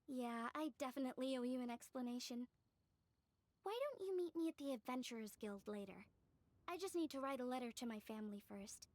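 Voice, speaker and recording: A woman voicing a friendly young street-smart artisan in a video game, expressive and explanatory. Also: sits high, no room sound. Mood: cheerful, apologetic. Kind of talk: video game dialogue acting.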